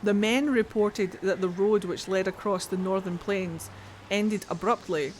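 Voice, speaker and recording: Woman, Scottish accent, game streamer, casual, swears, mid pitch. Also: steady tone, measured pace, a little mellow, a little hiss or hum, rough recording. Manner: chatty, swears freely, talks to her chat. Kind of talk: casual gameplay commentary and dramatic codex reading.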